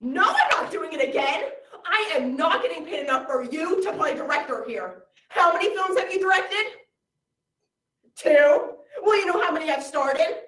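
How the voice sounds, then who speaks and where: A woman playing a self-important female cartoon voice actress in a comic stage monologue, with theatrical swings in pitch and loudness. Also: very animated, moderate pace, heavily acted. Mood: diva. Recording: roomy, from a distant mic.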